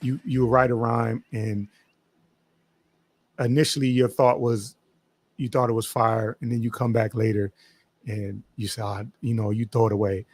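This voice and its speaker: A man's voice: medium pitch, flat delivery, normal speed, long pauses, darkish tone. A man hosting an interview, asking long, rambling questions with many restarts. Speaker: podcast host.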